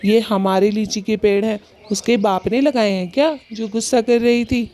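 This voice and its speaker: A woman's voice: medium pitch, fairly even intonation, normal speed, dark tone, close to an everyday voice. A woman voicing a protective, earnest husband bird in a children's cartoon. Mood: indignant. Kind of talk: animated cartoon dialogue.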